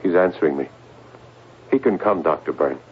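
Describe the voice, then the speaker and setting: An actor playing a desperate, paranoid psychiatric patient in a radio drama, halting and agitated, repeating himself and pleading. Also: male voice, very deep voice, slightly rough. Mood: hushed, urgent. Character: desperate paranoid male psychiatric patient, convinced aliens threaten mankind. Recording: narrow tinny old radio broadcast, hiss.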